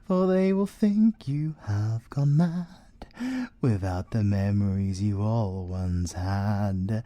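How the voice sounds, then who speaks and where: A British man playing a possessive, scheming siren in an ASMR audio roleplay, seductive and theatrical, with drawn-out words and whispers. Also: mid-range voice, mellow, no echo. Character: possessive, scheming male siren, obsessive lover and villain.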